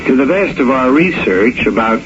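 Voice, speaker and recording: A man's voice: medium pitch, lively intonation, close to an everyday voice. Actor as wry intelligence man, muffled noisy recording. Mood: grave, confiding. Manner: dry, wry, measured, wordy. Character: wry, worldly embassy intelligence man, steady friend. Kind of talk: 1970s radio drama acting.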